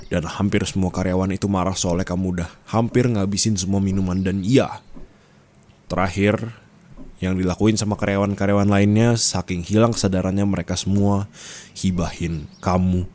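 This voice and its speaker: A man's voice: low voice, darkish tone, lightly acted. A man playing a strict, flirty and possessive male office boss in an intimate ASMR roleplay, commanding and teasing, with questioning tags.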